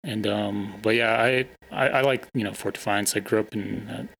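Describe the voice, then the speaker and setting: A man interviewing a band in a casual, hesitant way, with many pauses and fillers. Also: fairly low pitch, steady tone, vocal fry, a bit bright. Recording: faint ambient noise.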